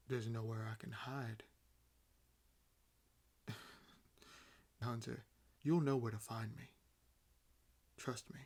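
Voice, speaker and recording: A man voicing an ancient vampire in an audio roleplay, nonchalant and amused, speaking with wry condescension and theatrical pauses. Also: medium pitch, darkish tone. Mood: smug, teasing. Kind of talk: roleplay audio acting, addressing the listener.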